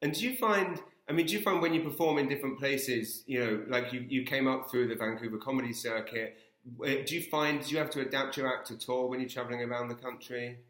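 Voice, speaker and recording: A man's voice: medium pitch, fast talker, a bit bright. A British man interviewing in a casual chat, effusive and often stammering over repeated words. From England. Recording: roomy, echoey sound.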